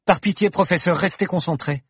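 A man playing a frightened young woman, shrill and protesting, very high and loud, husky, dry close recording. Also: a little fast, no echo. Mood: anxious. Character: anxious, sharp-tongued young woman.

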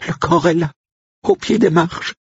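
A man's voice: unhurried, slightly rough, no room sound, lo-fi sound. A man reading an audiobook, voicing a frightened, tearful woman cook who whimpers and shrieks. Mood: distraught. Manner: shrill, whimpering, panicky.

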